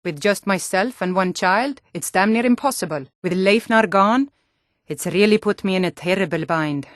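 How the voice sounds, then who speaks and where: An actress playing a gruff, wary Nordic female guard and townswoman in a fantasy video game, speaking bluntly with a Nordic accent. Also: mid-range voice, moderate pace, no room sound, studio quality, close to an everyday voice. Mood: troubled.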